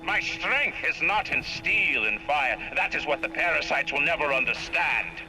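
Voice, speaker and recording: An actor playing a proud, imperious older city founder in a video game, speaking in a grand, oratorical and commanding way. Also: male voice, a little high, lively intonation, moderate pace, music in the background, low-quality recording. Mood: bitter, brooding. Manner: lofty, commanding, mocking. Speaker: game character. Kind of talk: video game voice acting, audio diary monologue. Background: orchestral game score.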